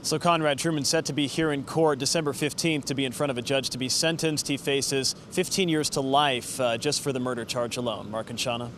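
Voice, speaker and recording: A male television news reporter reads a scripted report at a middling pitch. Faint noise sits behind his voice. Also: steady tone, fairly quick, few pauses, a bit bright.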